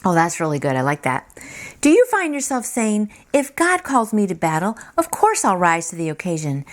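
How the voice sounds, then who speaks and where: A woman's voice: fairly low pitch, wildly swooping pitch, moderate pace. A woman hosting a daily devotional, reading aloud and adding casual, earnest, encouraging asides. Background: music.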